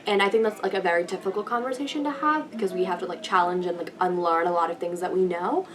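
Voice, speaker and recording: A young woman student giving thoughtful, halting answers in a casual interview, often saying "like". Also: on the low side, crowd noise. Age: young adult. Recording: distant mic with faint room noise.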